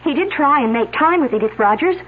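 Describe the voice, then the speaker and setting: An actress playing a defensive, sharp-tongued woman suspect in a radio mystery, snapping back with accusations. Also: high voice, expressive, brisk. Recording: tinny old radio broadcast.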